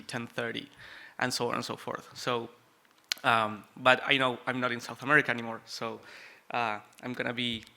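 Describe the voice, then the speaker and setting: Bright-voiced Uruguayan man giving a conference talk. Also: mid-range voice, creaky. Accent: Uruguayan Spanish. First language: Spanish. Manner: chatty, joking, many fillers and restarts. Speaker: conference speaker. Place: conference venue with audience.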